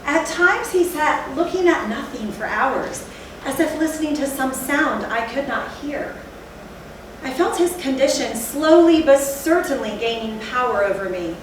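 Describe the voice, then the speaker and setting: A woman playing a haunted, fearful narrator in theatrical Gothic storytelling, with a deliberate, literary delivery. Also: sits high, lively intonation. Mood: dread. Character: haunted, fearful narrator recalling a night of horror. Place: theatre stage, recorded from a distance. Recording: echoey hall, distant mic, faint noise.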